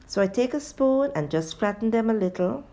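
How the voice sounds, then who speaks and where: A woman's voice: fairly high pitch, animated, moderate pace, warm tone. A woman giving a step-by-step cooking tutorial as a voice-over, addressing viewers directly as a home cooking host.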